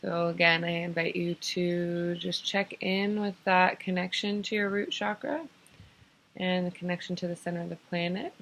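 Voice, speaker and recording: A woman leading a guided meditation in an unhurried, soothing manner, giving gentle improvised instructions in short phrases with pauses. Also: on the low side, steady tone, poor audio. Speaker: guided meditation teacher and host.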